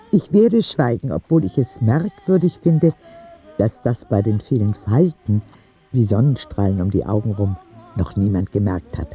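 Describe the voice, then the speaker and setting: A man's voice: fairly low pitch, muffled recording. A man playing an earnest, candid ten-year-old sick boy who narrates his letters in a radio drama.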